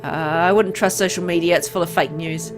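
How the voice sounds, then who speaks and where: A woman's voice: on the low side, steady tone, brisk, over music, lightly acted. A woman voicing an embittered, withdrawn dying man in a visual novel, guarded and brooding. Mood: skeptical.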